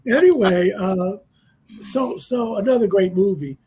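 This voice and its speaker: A man's voice: sits high, unhurried, warm tone, husky. A man in his sixties, a panel guest speaking in a reflective, easygoing way on a casual radio talk show. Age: 60s.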